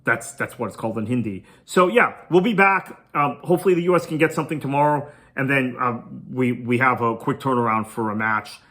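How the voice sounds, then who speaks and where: A man's voice: medium pitch, brisk, hardly any pauses, pushed and bright. A man whose first language is Tamil, hosting a podcast and talking through a cricket match preview in a conversational, thinking-aloud way. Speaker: podcast host. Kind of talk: solo cricket preview commentary.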